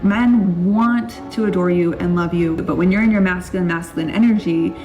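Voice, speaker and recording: A woman's voice: lowish voice, moderate pace, a little mellow. A woman giving matter-of-fact advice in a social media clip. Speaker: religious influencer. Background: music.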